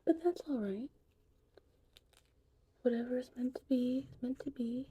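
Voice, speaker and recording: A very dark, soft, whispery voice of a whimsical witch played by a woman in an ASMR roleplay. She teaches slowly and soothingly in a breathy hush, close to the mic. A faint noise sits behind her. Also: high-pitched. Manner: slow, soothing, unhurried. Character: gentle, whimsical female witch teaching potion making. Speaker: ASMR performer.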